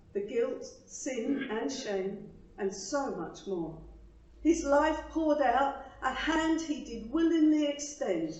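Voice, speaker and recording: A woman reading her own rhymed devotional poem to a church congregation, with earnest, emphatic phrasing and soft falling line endings. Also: sits high. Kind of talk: rhymed devotional poetry recital. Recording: roomy, distant, slightly dull microphone pickup.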